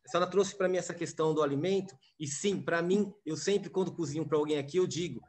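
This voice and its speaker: A man answers a speaker in an online debate, his voice a little high for a man. He speaks haltingly, restarts words, and tags his phrases as he works through his thoughts. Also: darkish tone. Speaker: debate participant. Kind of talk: reflective response in an online debate.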